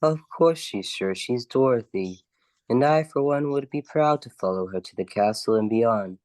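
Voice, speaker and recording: Guy playing loyal wry hero sidekick, medium pitch, dry close recording, radio play. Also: expressive, moderate pace, a little mellow, dry recording, close to an everyday voice. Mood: proud, encouraging.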